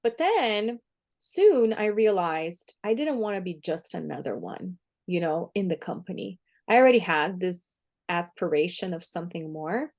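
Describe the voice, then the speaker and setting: Upbeat woman, lowish voice. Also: animated, unhurried, darkish tone. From Brazil. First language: Portuguese. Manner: upbeat, chatty, laughs easily. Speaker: guest, empowerment coach. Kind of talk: podcast interview.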